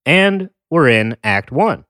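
A man's voice: on the high side, sing-song, slow pace, pushed and bright, no room sound, clean studio sound. A man co-hosting a podcast in casual chat, joking and wry. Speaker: podcast co-host.

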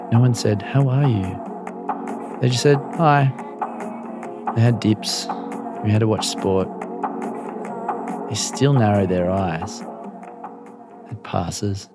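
A man reading a literary first-person story in short, measured, confessional sentences. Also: lowish voice.

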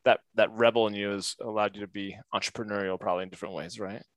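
Attentive low-voiced male podcast host. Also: steady tone, quick pace. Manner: attentive, affirming, frequent listening sounds. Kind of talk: interview host.

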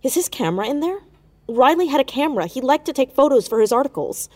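A woman's voice: sits high, very animated, fast. A woman playing an earnest, impulsive, stubborn teenage girl sleuth in a naturalistic audio drama. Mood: anxious, hopeful.

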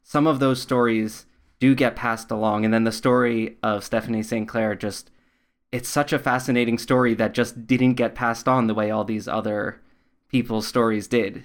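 A man with a medium-pitched voice hosts a podcast interview. He sounds interested and affirming, chatting his way conversationally toward each question. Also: steady tone, normal speed.

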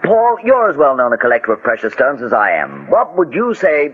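A wealthy, imperious gentleman host in a 1940s radio drama. His voice is husky and very animated, with formal, theatrical phrasing. The old recording is thin and phone-like. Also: mid-range voice, brisk. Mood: smug, amused.

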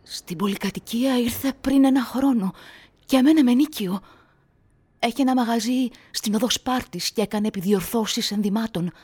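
Husky building manager telling police stuff, radio play, breathy, a bit high. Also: female voice, darkish tone. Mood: hushed, informative. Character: female apartment-building manager giving police a witness statement. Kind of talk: radio drama acting.

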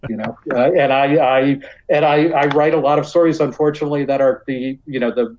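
A man's voice: medium pitch, darkish tone, muffled recording. A middle-aged or older man with an American accent, talking as a podcast guest in a casual, reflective, rambling way full of "you know". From New York area, United States.

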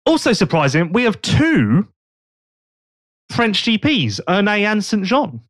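A man's voice: sits high, huge pitch swings, measured pace, fairly bright tone, no echo, studio quality. A man hosting a casual podcast, chatting and joking while he thinks aloud. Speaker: podcast host.

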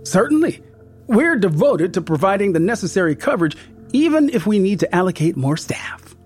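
A man's voice: high voice, big pitch swings, normal speed, breathy edge, faint music behind, pitched above the performer's own voice, exaggerated voice. A man voicing a formal, reassuring male care home manager. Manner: polite, formal.

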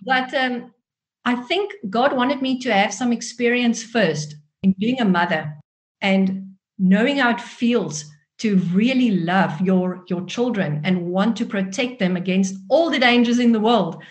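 A woman's voice: a little high, measured pace. A woman in her forties with a South African Afrikaans accent, a native Afrikaans speaker, telling her own story expressively as an interview guest. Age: 40s. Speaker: guest author.

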